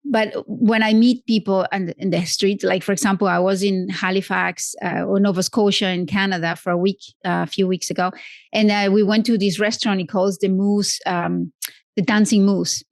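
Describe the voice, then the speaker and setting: A woman's voice: medium pitch, fairly even intonation, moderate pace, vocal fry, no echo, clean studio sound. A woman guest on a podcast, chatting casually with many restarts and self-corrections. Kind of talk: casual interview chat.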